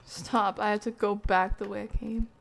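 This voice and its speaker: A woman's voice: mid-range voice, little pitch movement, moderate pace, gravelly. A woman doing casual live game commentary as a streamer, jokey and slangy, with mild exclamations in place of swearing.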